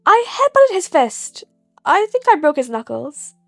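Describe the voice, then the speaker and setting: Woman doing a high-pitched, cheeky teenage boy. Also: very animated, a little slow, gravelly, fairly bright tone, dry recording, clean studio sound, faint music behind, exaggerated voice. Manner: cheeky, protesting. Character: defiant, flippant, indignant teenage boy vigilante.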